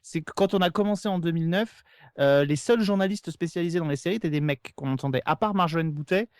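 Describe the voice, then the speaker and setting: A man with a fairly high voice speaks very quickly in a casual interview. He explains at length in a conversational way, and the recording is close and dry. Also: clean tone, dry recording. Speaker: podcast co-host being interviewed.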